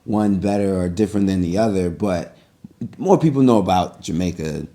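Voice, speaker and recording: A man's voice: low voice, normal speed, mellow. A man co-hosting a podcast, asking questions in a friendly, teasing way. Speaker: podcast co-host. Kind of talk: casual podcast interviewing.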